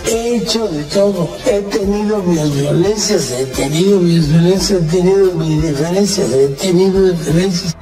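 A man's voice: sits high, normal speed, hardly any pauses. A man in his seventies making a personal statement. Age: 70s. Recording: echoey clip with music behind.

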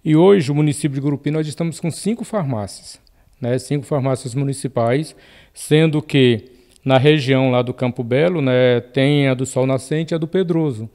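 A Brazilian man, a city health official, giving a measured bureaucratic statement, dropping frequent tag questions. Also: mid-range voice, mellow. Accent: Brazilian Portuguese. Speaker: municipal health secretary.

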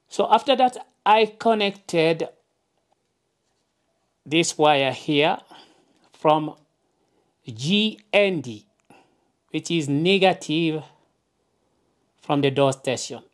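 A woman with a low voice talks through a practical demonstration at a slow pace. She explains things in simple steps, often punctuating them with a checking okay, as an instructor would. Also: animated. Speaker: tutorial presenter. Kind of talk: hands-on instructional narration. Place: indoors, at a wooden work table.

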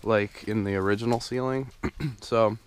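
Rambling low-voiced man touring his basement. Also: fairly even intonation, a little slow, background noise. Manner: rambling, many ums and restarts. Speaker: DIY home renovation vlogger. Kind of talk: casual walkthrough narration. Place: unfinished basement under renovation. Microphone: handheld camera mic, filming as he walks.

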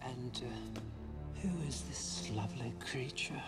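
An actor playing a sly, menacing courtier knight in a fantasy film, measured and insinuating. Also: male voice, mid-range voice, loud music behind the voice, close to an everyday voice. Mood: suave.

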